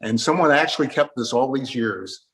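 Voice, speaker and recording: Medium-pitched man hosting an interview. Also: lively intonation, moderate pace, fairly bright tone. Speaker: interviewer, host. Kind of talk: conversational interview.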